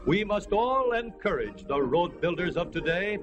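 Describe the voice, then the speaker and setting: A man's voice: high voice, big pitch swings, moderate pace, muffled recording, music in the background. An American man reading a formal, earnest, declamatory script as narrator of a 1930s educational film, on an old film soundtrack.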